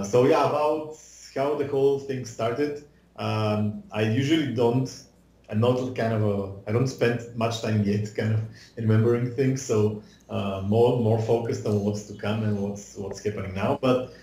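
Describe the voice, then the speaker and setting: A man's voice: lowish voice, darkish tone. A man in his thirties who grew up in Bulgaria, chatting casually in an interview over a video call, with many fillers and restarts. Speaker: guest, visual effects artist. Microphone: video call webcam mic. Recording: roomy echo on a video call.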